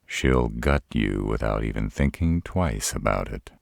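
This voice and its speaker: A man playing a gruff, protective older father in a roleplay audio, with a gravelly voice and a slow, deadpan, measured delivery. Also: no echo, studio quality, full character voice. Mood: gentle, firm. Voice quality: deep, gravelly. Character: gruff old father of a werebear's mate, protective, dryly stern.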